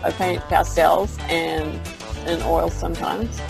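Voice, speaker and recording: A woman's voice: lowish voice, little pitch movement, takes it slowly, gravelly, music in the background. A woman speaking casually as a panelist on a podcast. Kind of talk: casual podcast introduction and chat.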